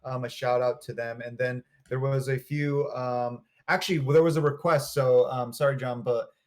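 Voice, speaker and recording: A man's voice: mid-range voice, fairly even intonation, normal speed, darkish tone. A man, a community director guest, talking earnestly in a casual chat. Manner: earnest, organized, chatty.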